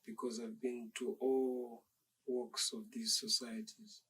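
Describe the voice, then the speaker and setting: A young man from Zambia, a dancer being interviewed, speaking in a measured, reflective and polite way. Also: medium pitch, level tone, fairly bright tone, slightly rough, distant mic, poor audio. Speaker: dancer guest. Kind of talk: interview conversation.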